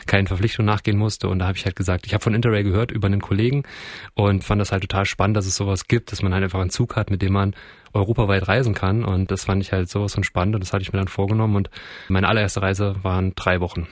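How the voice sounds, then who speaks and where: A man's voice: sits low, fairly even intonation, racing, dry recording, clean studio sound. A man telling personal stories casually as an interviewee. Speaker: interviewee recalling travels.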